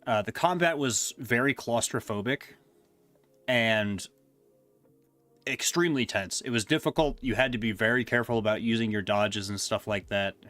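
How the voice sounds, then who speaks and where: A man chatting casually on a live stream, rambling and hesitant with many fillers, his voice going hoarse. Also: mid-range voice, moderate pace, a bit bright, no echo, soft background music. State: losing his voice. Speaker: streamer ranking games he reviewed.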